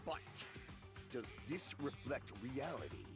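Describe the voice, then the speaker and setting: An actor playing a grandiose, haughty young man in a video game, theatrical and pompous, like a self-styled dark lord. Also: mid-range voice, big pitch swings, takes it slowly. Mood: haughty, challenging. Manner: pompous, dramatic, archaic phrasing. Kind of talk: English video game voice acting. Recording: game audio under music.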